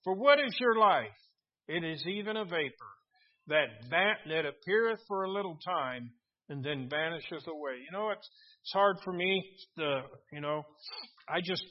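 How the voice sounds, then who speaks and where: A man's voice: on the high side, expressive, moderate pace. A man in his seventies preaching a sermon, earnestly reading old scripture verses aloud with frequent restarts. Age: 70s. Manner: earnest, reads King James verses aloud, many restarts. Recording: dull lo-fi recording.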